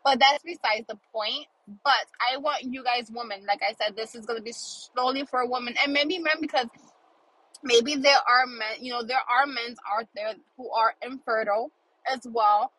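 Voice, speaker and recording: A woman's voice: on the high side, fairly even intonation, moderate pace, bright, forceful tone, low-quality recording. A woman in her thirties hosting a casual monologue podcast, earnest and emphatic, repeating phrases for emphasis, sounding a little congested. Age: 30s. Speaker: podcast host.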